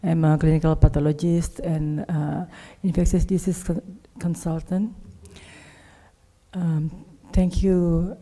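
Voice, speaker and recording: A woman from Indonesia with an Indonesian accent gives a medical conference presentation in halting, non-native English as a specialist speaker. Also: low-pitched, flat delivery, warm tone. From Indonesia, Java. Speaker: clinical pathologist, conference speaker.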